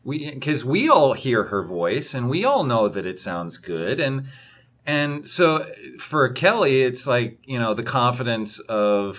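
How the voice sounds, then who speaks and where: A man hosting a podcast, explaining his view in a measured, conversational way. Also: medium pitch, big pitch swings, lo-fi sound. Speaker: podcast host. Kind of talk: casual chat.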